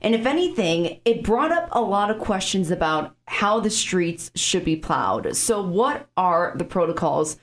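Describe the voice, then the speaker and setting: A woman with a Chicago accent and a fairly low voice hosts a studio radio show. She asks conversational questions and pushes back lightly on her guest. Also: sing-song, unhurried, few pauses. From Chicago suburbs. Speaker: radio host. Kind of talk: radio interview host.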